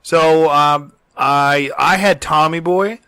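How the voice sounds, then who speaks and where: A man in his thirties co-hosting a podcast with casual banter and joking, profane, animated storytelling. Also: a little high, slow, clear, resonant tone, no room sound. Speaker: podcast co-host.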